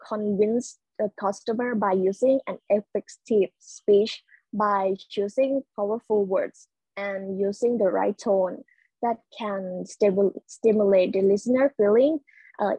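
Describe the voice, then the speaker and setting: Soft husky young woman. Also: mid-range voice, no echo, rough recording. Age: 20s. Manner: careful, halting, restarts words. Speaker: student. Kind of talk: rehearsed job interview answers. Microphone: video call.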